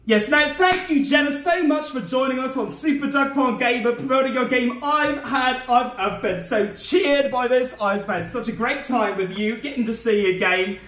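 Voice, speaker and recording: A man's voice: extremely high pitch, normal speed, hardly any pauses, fairly bright tone. A man hosting a let's play interview in a goofy, over-eager and emotional manner. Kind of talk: goofy game interview chat. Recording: roomy echo, music behind.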